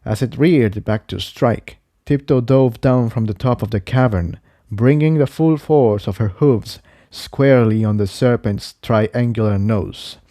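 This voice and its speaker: A man reading an adventure story aloud as narrator, in a measured, dramatic storytelling manner. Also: mid-range voice, warm tone, no room sound, studio quality. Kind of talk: scripted narration, audiobook-style story reading.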